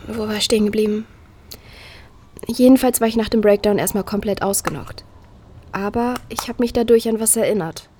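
A woman's voice: mid-range voice, brisk, pauses often, mellow, a little hiss or hum, lightly acted. A young woman playing a frank, slangy vlogger in an audio drama, casual and blunt. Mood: subdued. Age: young adult.

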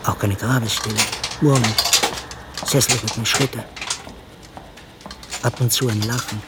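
An actor in a radio drama playing a reflective, gently ironic hospital psychiatrist with a dry, understated manner. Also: male voice, medium pitch, fairly even intonation, unhurried, lightly acted. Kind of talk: radio drama narration and dialogue. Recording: clear ambient noise, vehicle sounds.

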